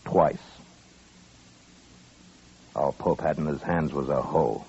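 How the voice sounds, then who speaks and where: An actor playing a stern, weary American frontier marshal, blunt and measured, in 1950s radio western style. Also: male voice, very deep voice, warm tone, slight background noise, full character voice. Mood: grim, bitter. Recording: muffled old-time radio recording.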